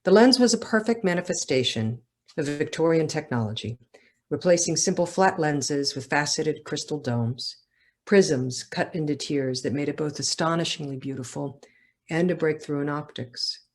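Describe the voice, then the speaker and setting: A woman's voice: low voice, moderate pace. A woman author speaking at a literary event, with talk and reading from her own writing. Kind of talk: casual talk, then literary reading aloud.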